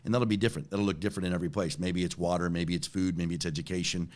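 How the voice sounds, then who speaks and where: A man's voice: low-pitched, steady tone, rapid-fire. A man in his forties answering interview questions conversationally, repeating words and often checking in with a "right?". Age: 40s. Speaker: interview guest, company chief executive.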